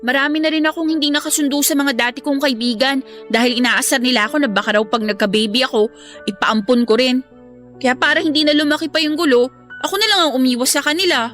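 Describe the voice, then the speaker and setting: Radio drama little sister: very high, plaintive, polite, loyal, childlike. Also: female voice, steady tone, fast talker, a bit bright. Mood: plaintive, supportive. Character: young adopted daughter, aggrieved, loyal to her brother. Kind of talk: emotional radio drama acting. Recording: music bed behind the voice.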